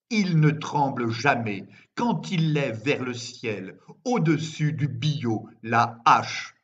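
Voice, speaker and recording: Male poet, dramatic recitation, very measured slow pace, solemn declamation, deliberate pauses, medium pitch, slightly muffled recording. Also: fairly bright tone. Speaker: poet reading his own work. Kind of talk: dramatic poetry recitation in French.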